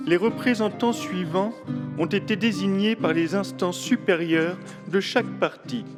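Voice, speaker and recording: Solemn male council herald played by an actor, high-pitched, very animated delivery, formal, fantasy audio drama, music behind. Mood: stern, official. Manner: formal, ceremonious proclamation.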